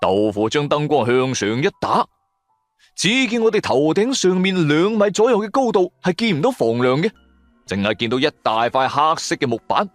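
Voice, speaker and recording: Male Cantonese audio-drama narrator. Theatrical, suspenseful, highly varied intonation, faint music bed. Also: sing-song, normal speed, no room sound. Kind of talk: dramatic first-person storytelling narration of a horror adventure serial.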